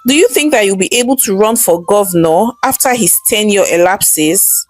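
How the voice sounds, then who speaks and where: An ambitious young wife played by a female narrator speaks eagerly and presses her point, with lively, swinging pitch. It is storybook dialogue over faint music. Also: moderate pace, close to an everyday voice. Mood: eager, anxious.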